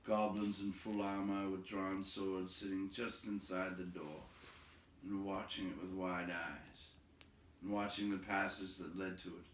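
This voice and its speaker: A man reading a story aloud in an expressive, deliberate, dramatic narration. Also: sits low. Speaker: storybook reader. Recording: roomy, distant, faint background noise.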